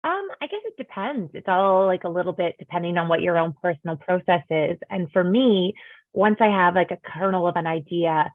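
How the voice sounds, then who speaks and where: Low-voiced woman on a thin, phone-like line. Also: animated, dry recording. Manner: thoughtful, measured, explains her process step by step. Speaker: comic author on a panel. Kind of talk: panel discussion answer. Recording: dry, tinny phone-like line.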